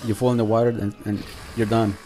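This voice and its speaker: Male game channel host, relaxed dark tone, halting conversational delivery, music playing underneath. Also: lowish voice. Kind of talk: casual unscripted gameplay commentary.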